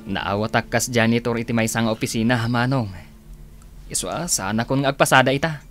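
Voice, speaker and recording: Man as humble, eager young job seeker, mid-pitched. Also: brisk, fairly bright tone, soft background music, close to an everyday voice. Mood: proud, sincere. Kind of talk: radio drama acting in Ilocano.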